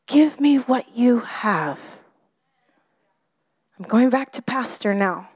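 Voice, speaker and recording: A woman preacher with a high voice; expressive, often sinking to a whisper mid-sermon. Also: slow pace, breathy edge.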